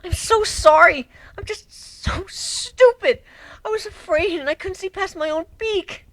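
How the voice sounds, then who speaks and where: A scared, sorry young griffin girl acted out by a woman pleads with childlike emotion. Her voice sits extremely high, in a dry, close recording, as in a dramatic storybook reading. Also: measured pace, fairly bright tone, no echo, rough recording. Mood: remorseful. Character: frightened, remorseful young female griffin, fourteen, childlike.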